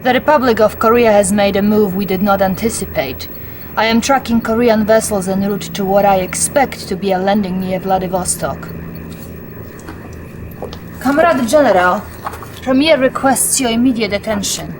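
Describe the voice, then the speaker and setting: A woman's voice: mid-range voice, moderate pace, noisy background, lightly acted. A woman playing a composed, dutiful Soviet intelligence officer with a Russian accent, giving formal briefings in a video game cutscene. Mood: composed, businesslike.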